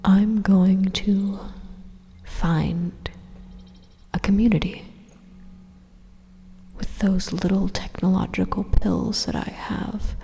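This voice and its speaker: A woman voicing a coolly persuasive evil robot supercomputer in a soft, half-whispered ASMR monologue, speaking in a measured and menacingly calm way. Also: medium pitch, gravelly, slightly rough, heavily acted. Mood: calmly persuasive. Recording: long reverberant echo.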